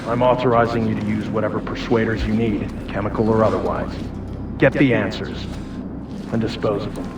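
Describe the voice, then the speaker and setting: A man's voice: on the low side, fairly even intonation, fairly quick, close to an everyday voice. A cold, commanding American director voiced by a man for a game cutscene. He sounds distant and echoey, with noise around him. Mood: cold orders. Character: cold, commanding corrupt male agency director. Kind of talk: video game voice acting.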